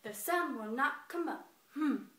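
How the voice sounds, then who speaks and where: A woman voicing a big, proud, stubborn bear who brags in a booming, declamatory way for a children's folktale. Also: animated, unhurried, a bit bright. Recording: echoey room, distant microphone.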